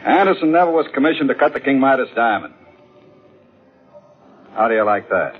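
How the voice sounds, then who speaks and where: Husky, hard-boiled radio detective. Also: male voice, medium pitch, lively intonation, a little fast. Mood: needling, suspicious. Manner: blunt, clipped, wry. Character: suspicious, hard-boiled, needling male police detective. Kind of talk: old-time radio crime drama acting. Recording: tinny vintage radio recording.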